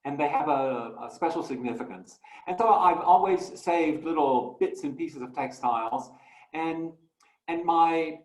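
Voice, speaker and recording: A man presenting his artwork in a talk, speaking slowly and reflectively with many fillers and restarts. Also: a little high, echoey room, rough recording. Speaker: guest artist.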